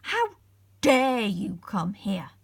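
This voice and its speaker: An imperious, bearded wizard from a storybook, acted out by a woman reader. The voice is loud and scolding, full of angry command, in a dry recording. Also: sing-song, very slow, creaky, slightly rough, no echo, heavily acted. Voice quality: deep, rumbling.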